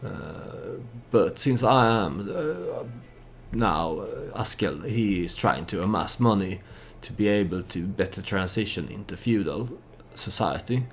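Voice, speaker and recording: A man giving unscripted, storytelling commentary on a game he plays, halting as he thinks aloud and speaks for his character. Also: fairly low pitch, expressive, vocal fry, faint music behind. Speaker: let's play host.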